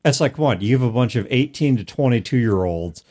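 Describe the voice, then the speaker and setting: A man's voice: mid-range voice, lively intonation, normal speed, dark tone. A man chatting casually as a regular on a group podcast. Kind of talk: casual chat.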